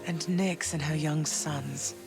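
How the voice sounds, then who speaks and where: A wistful exiled queen in a video game. Her delivery is measured, reserved and gentle, with music clearly underneath. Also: low-pitched, fairly even intonation, low-quality recording. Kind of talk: video game character dialogue.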